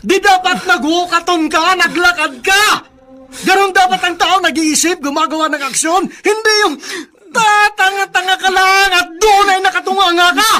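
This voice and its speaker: Nasty hot-tempered uncle in a radio drama, super high voice, piercing, insulting and bossy. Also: male voice, steady tone, normal speed, pushed and bright. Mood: scornful anger. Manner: harsh, scolding, insulting. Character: cruel, hot-tempered, domineering uncle berating his nephew. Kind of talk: melodramatic radio drama acting.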